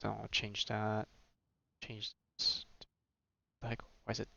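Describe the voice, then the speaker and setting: A man live-streaming a game, chatting casually with viewers and thinking aloud in halting, repetitive phrases. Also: fairly low pitch, monotone, vocal fry, sharp tone, dull audio. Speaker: game livestreamer. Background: game music and cutscene audio.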